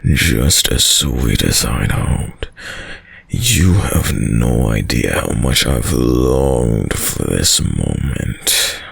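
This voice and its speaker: A man playing a sadistic, dominant vampire in an intimate audio roleplay, speaking in a commanding, silkily menacing and condescendingly tender way, deep and breathy. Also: animated, no room sound, exaggerated voice. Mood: savoring, gloating. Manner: slow, commanding, condescendingly tender, often dropping to whispers. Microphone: close, dry studio mic.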